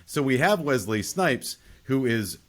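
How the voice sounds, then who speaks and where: A man's voice: mid-range voice, sing-song, measured pace. A man talking casually as a podcast host, discussing a film in conversation.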